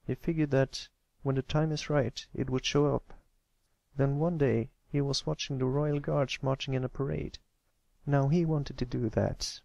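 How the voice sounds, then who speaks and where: Earnest little boy pony, played by a man, gentle and hesitant, lifted voice, storybook cartoon style. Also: mid-range voice, steady tone, normal speed, creaky, no room sound, lo-fi sound, pitched above the performer's own voice, close to an everyday voice. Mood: gentle, reassuring. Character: shy, earnest young male cartoon pony, comforting a friend.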